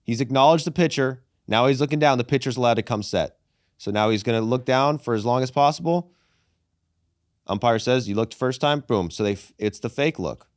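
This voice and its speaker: A young man hosting a casual sports podcast, animated and profane, calling people "brother" and "dude". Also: medium pitch, brisk, dry recording, studio quality. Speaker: podcast host.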